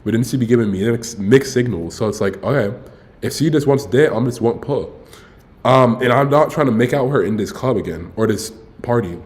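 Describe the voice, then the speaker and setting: A man's voice: medium pitch, fairly quick, a little mellow. A man in his early twenties telling a rambling story to his stream viewers in a casual, slangy way, often correcting himself and tossing in "bro.". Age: 20s. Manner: laid-back, frequent "bro" asides.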